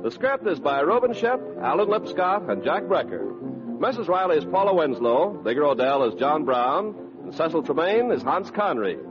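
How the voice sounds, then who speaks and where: A male 1940s radio announcer with a polished sales delivery. His voice is high and very animated. It sits over music on a muffled old recording. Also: normal speed.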